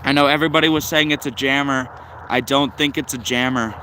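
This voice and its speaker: A man's voice: medium pitch, steady tone, a little fast, bright, forceful tone, slight background noise. A man giving casual, unscripted hobbyist commentary as a livestream host, rambling and thinking aloud. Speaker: shortwave radio livestream host.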